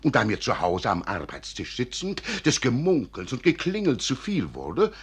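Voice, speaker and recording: An actor playing an eccentric older archivist in a German radio play, blustering and slyly amused, with a raspy, chuckling delivery. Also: male voice, medium pitch, wildly swooping pitch, exaggerated voice. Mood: sly amusement. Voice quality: raspy, rough-edged. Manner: chuckling, exclamatory, theatrically deliberate.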